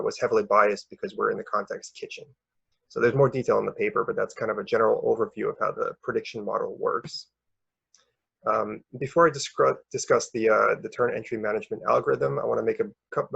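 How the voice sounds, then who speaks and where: A young man presents research in a lowish voice that is heavily creaky and very rough. He explains things informally and hesitantly, often repeating a word before going on. The recording is somewhat dull and lo-fi. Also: a bit bright. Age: young adult. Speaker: researcher presenting a conference paper.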